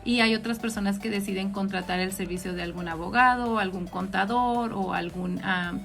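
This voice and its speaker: A woman's voice: medium pitch, normal speed, gravelly, a bit bright, soft background music. A woman with a northern Mexican accent hosting an informal live question-and-answer session, explaining things directly to her listeners. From Ciudad Juárez, Mexico. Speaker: host, accountant answering audience questions.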